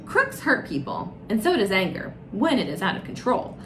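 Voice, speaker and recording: A woman's voice: medium pitch, huge pitch swings, normal speed, background noise. A woman voicing an oblivious, earnest male cucumber detective in a children's storybook, matter-of-fact and innocently puzzled.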